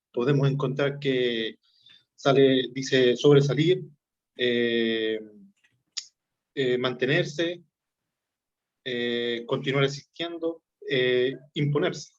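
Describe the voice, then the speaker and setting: Halting Chilean man, slightly muffled sound. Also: medium pitch. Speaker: guest speaker on a marriage radio segment. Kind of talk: conversational explaining.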